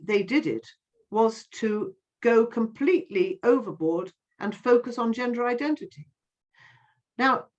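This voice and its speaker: An older woman giving earnest interview answers, impassioned, often restarting and repeating words. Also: mid-range voice. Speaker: interviewee, activist. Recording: slightly dull, lo-fi sound.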